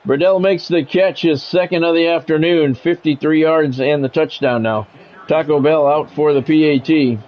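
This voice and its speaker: A man's voice: fairly high pitch, normal speed. A man streaming a sports video game, switching between play-by-play commentary and casual chat. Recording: dull lo-fi audio, music behind.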